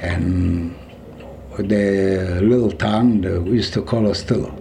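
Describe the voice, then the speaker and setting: A man in his seventies from Poland with a Yiddish accent, recalling his life in an interview, halting and searching for words. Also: low-pitched, steady tone, creaky, mellow, a little hiss or hum. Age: 70s. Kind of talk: oral history recollection.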